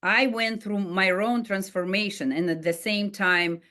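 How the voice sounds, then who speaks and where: A woman's voice: mid-range voice, steady tone, unhurried, sharp tone. A middle-aged woman with a Russian accent, a life coach telling her own story in an earnest, heartfelt way, with frequent fillers and restarts. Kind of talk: personal storytelling monologue.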